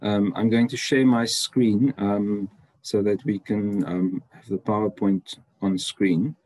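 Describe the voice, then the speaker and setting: A man's voice: on the low side, little pitch movement, takes it slowly, a little mellow, no echo, low-quality recording. A man whose first language is Afrikaans, from South Africa, giving an online seminar talk as a university lecturer in a friendly, chatty way with many ums. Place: indoor room, video call.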